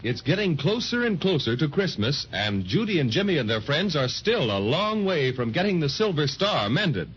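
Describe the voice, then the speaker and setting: High-pitched American man narrating old radio serial. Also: husky. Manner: storytelling, emphatic. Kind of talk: dramatic scripted narration for 1930s children's radio. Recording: dull 1930s radio transcription.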